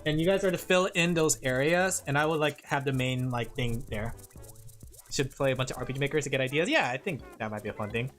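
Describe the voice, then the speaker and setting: A woman's voice: extremely low pitch, a little fast. A woman VTuber streamer chatting casually with her viewers while drawing, rambling and laughing often.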